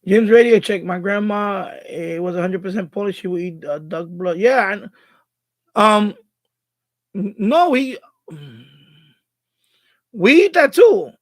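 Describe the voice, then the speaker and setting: A man's voice: high-pitched, lively intonation, slow, pauses often, dry recording. A man from the Bronx, chatting casually as a live stream host, thinking aloud and repeating himself. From Bronx, New York City, USA.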